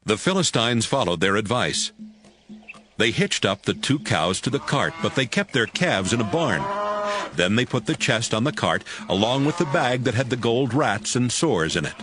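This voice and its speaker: A man's voice: mid-range voice, animated, moderate pace, music in the background. A man narrating a dramatized audio Bible as scripted storytelling.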